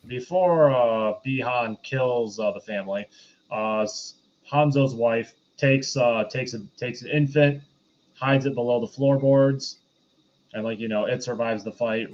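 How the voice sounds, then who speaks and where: Through a muffled, lo-fi microphone, a man of medium pitch chats casually as a co-host. He adds details in choppy phrases, restarting as he goes. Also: darkish tone. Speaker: co-host of a movie review show. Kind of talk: casual chat, adding notes and detail. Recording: dull, lo-fi microphone sound.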